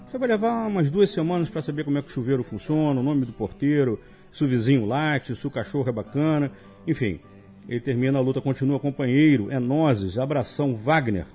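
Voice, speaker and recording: Jokey Brazilian radio host, mellow voice. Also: male voice, mid-range voice, animated, brisk, faint music behind. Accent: Brazilian Portuguese. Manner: jokey, reads messages aloud with asides. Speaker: radio host reading listener emails. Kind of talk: casual live radio chat.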